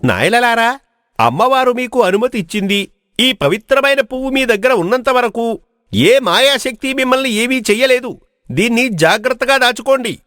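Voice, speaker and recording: A kindly temple priest in an animated folk tale. The voice is extremely high for a male, with a solemn, blessing manner. The sound is dry. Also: moderate pace, no room sound.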